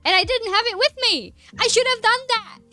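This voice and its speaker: A woman's voice: extremely high pitch, animated, fairly quick, pushed and bright, no room sound. A young woman from the Republic, chatting casually on stream while she draws, rambling, laughing often and hunting for words. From Czech Republic. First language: Czech. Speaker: artist streamer. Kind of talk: casual chat while drawing.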